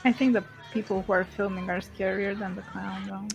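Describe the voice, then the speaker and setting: A woman's voice: mid-range voice, fairly even intonation, brisk, gravelly, a little mellow. A woman co-hosting a casual live stream, giggling and making wry remarks. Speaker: streamer co-host.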